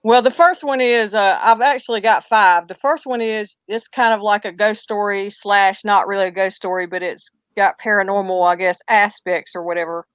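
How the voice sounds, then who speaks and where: A woman's voice: medium pitch, steady tone, moderate pace, hardly any pauses, fairly bright tone, clear, resonant tone, no room sound. A woman, chatting casually and telling a rambling personal story. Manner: rambling, talks through restarts and hesitations. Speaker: co-host telling ghost stories. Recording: muffled lo-fi audio.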